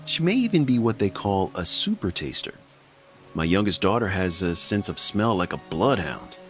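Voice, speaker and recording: A man's voice: sits low, very animated, moderate pace, faint music behind. A middle-aged man hosting a podcast, talking in a wry, chatty, self-mocking way. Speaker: podcast host. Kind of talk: casual scripted podcast narration.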